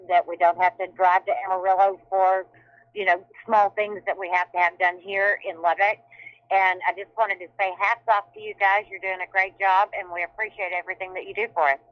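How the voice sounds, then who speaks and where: A woman's voice: mid-range voice, dry recording. A woman calling in to give a spontaneous, polite and appreciative comment. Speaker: caller. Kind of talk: spontaneous comment on a public call. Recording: muffled telephone line.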